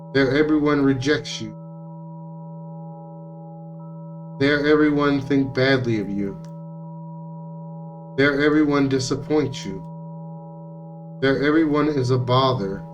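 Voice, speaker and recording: A man's voice: medium pitch, takes it slowly, long pauses, dull audio, over music, lightly acted. A man voicing menacing hive-minded puppets in a visual novel, insistent and accusing, with chant-like repetition.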